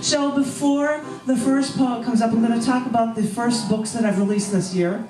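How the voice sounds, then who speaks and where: A woman's voice: on the high side, steady tone, moderate pace. Woman on stage, echoey and far off. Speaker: performance poet and open mic co-host. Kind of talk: live open mic poetry reading and stage chat. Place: small bar venue stage at an open mic. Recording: echoey live stage, distant mic, music behind.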